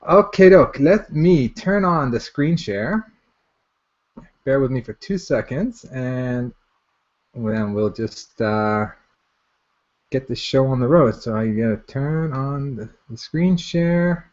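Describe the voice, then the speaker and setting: Male webinar host, mid-pitched, informal and off the cuff, repeats himself, slightly muffled lo-fi sound. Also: slow, a little mellow. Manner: informal, repeats phrases as he goes. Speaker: software maker hosting a product launch webinar. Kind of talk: casual unscripted live webinar presentation. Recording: dull, lo-fi webinar audio.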